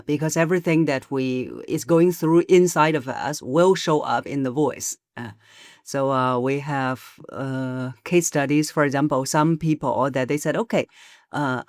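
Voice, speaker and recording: A woman voice coach, a podcast guest, explaining in a measured way with frequent pauses and hesitations. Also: low-pitched, a little mellow, dry recording, clean studio sound. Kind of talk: podcast interview.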